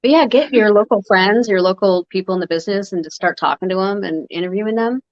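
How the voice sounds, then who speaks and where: A woman's voice: medium pitch, fast talker, dry recording. A woman hosting a free-flowing interview, chatty and encouraging. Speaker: interview host. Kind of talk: casual interview chat. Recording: dry, dull lo-fi call audio.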